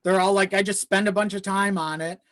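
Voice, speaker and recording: A man's voice: high-pitched, steady tone, brisk, a bit bright, no room sound. Casual male podcast guest, dry close sound. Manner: self-deprecating; casual, profane. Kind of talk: casual chat.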